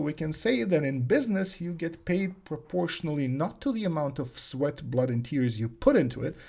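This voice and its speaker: A man's voice: on the high side, a little mellow. A man, talking alone about the business mindset in a reflective way and often repeating words as he searches for phrasing. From Soviet Union (Leningrad). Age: 40s. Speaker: business coach. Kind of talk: solo talk on business mindset.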